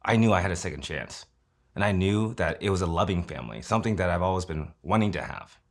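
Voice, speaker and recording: Man in his late thirties, low voice, casual and candid telling his story. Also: animated, fast. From Kansas City, Missouri, USA. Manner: conversational, often adds tag questions and fillers. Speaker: interviewee. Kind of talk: personal storytelling interview.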